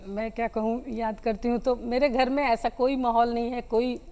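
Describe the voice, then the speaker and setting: A woman from Bihar, a folk singer being interviewed, answering in a halting, reflective way. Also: on the high side, level tone, dark tone.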